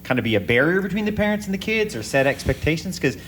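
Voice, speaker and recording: A man's voice: a little high, big pitch swings, fast talker, a bit bright, slight background noise. A man co-hosting a podcast, rambling and repeating words as he frames long questions. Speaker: podcast co-host. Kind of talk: casual interview.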